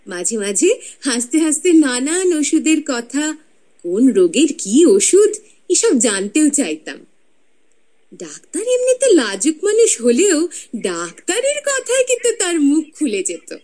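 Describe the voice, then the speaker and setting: A woman's voice: sits high, dark tone, exaggerated voice. An actress playing the ghost of a proud young woman, telling her life story in a radio drama with intimate, teasing narration. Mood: musing.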